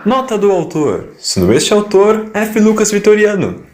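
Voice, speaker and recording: A man's voice: sits high, big pitch swings, moderate pace, very noisy. A man with a Brazilian accent reading scripted narration for an audio drama. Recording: music behind the voice. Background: music.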